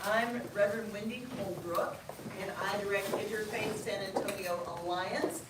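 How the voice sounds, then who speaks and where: A woman directing an interfaith alliance, addressing a gathering in a meeting room in a measured, formal way. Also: mid-range voice. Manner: measured, formal welcoming speech. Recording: echoey room from a distance, noisy.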